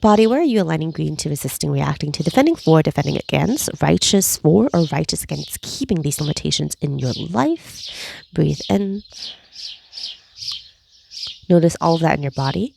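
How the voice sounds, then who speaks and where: A woman leading a guided energy clearing session, speaking slowly and soothingly, with repeated cues and breathy sighs. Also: deep voice, lively intonation, darkish tone, a little hiss or hum. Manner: slow, soothing, repetitive guiding with breathy sighs. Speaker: energy healing facilitator.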